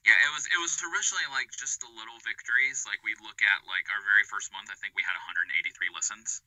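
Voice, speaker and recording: A man's voice: on the low side, fast talker, sharp tone, no echo, rough recording. A man chatting casually as an interview guest, slangy and effusive, repeating words for emphasis. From small town in America. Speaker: podcast creator being interviewed. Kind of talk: casual chat.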